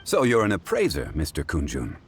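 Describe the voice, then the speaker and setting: A man voicing a composed, modest, erudite gentleman in a video game, formal, measured and courteous. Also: sits low, wildly swooping pitch, slight background noise. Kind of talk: video game dialogue acting.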